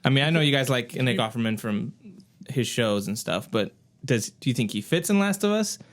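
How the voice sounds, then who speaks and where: Male podcast host, mid-pitched voice. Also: lively intonation, brisk. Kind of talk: casual news chat.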